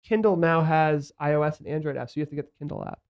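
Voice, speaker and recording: A man's voice: on the high side, rapid-fire, smooth, lo-fi sound. A man hosting a casual studio podcast, nasal, rambling with lots of fillers and acted-out quotes. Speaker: host.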